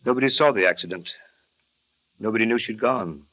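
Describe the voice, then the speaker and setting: An actor playing a reserved, kindly estate manager who answers quietly and reluctantly in a vintage radio drama. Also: male voice, fairly low pitch, a little fast, close to an everyday voice. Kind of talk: 1940s radio acting. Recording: dull vintage radio recording.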